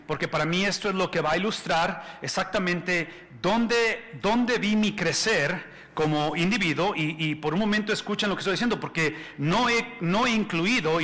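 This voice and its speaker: A man in his forties preaching from a stage in a large hall, with a bright, forceful tone set a little high. He talks in a conversational way, repeats words as he restarts, and keeps checking in with the listeners. The sound rings with a long reverb. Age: 40s. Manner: addresses the audience with questions. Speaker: pastor. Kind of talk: conference sermon.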